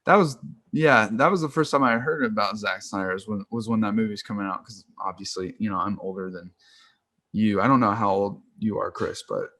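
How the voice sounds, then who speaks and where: A man's voice: on the low side, brisk, pushed and bright, no echo. Guy in his 20s geeking out on films, rambly and slangy, dry call audio. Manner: rambling, enthusiastic slangy talk. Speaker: film podcast co-host. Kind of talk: casual film chat. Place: remote video call.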